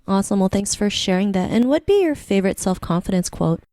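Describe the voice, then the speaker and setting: A woman's voice: medium pitch, animated, fast, dark tone, no echo, studio quality. A woman hosting a podcast who affirms her guest and asks interview questions, often saying "thanks for sharing that.". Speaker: podcast host.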